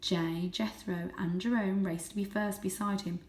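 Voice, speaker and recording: A woman reading a children's story aloud as host, with expressive, dramatic and suspenseful narration. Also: mid-range voice, moderate pace. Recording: echoey room.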